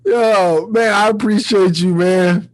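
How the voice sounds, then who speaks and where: A man's voice: high-pitched, steady tone, slow, poor audio. A man hosting a podcast in casual chat, very informal and slangy, swearing freely. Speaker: podcast host.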